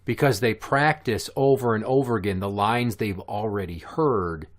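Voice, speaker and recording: Deep-voiced man hosting a podcast. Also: moderate pace. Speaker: podcast host. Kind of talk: casual podcast chat.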